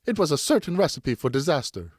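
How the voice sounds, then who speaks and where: A man's voice: on the high side, sing-song, fast talker, slightly rough, dry recording, clean studio sound, pitched above the performer's own voice. A man voicing a distraught, angry French wife and mother in an audiobook, anxious, indignant and pleading as she scolds. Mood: hurt, indignant. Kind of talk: audiobook character acting.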